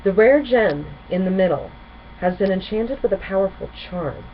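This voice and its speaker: A woman's voice: mid-range voice, expressive, moderate pace, close to an everyday voice. A woman voicing a gracious, caring grown-up pony princess as she reads a storybook. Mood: kindly. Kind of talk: storybook character reading. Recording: muffled home recording with clear noise.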